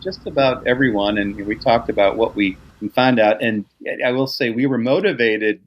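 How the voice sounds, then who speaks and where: A man's voice: mid-range voice, moderate pace, highs cut off. A man chatting as a guest film researcher, rambling with restarts and easy laughs. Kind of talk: casual podcast chat.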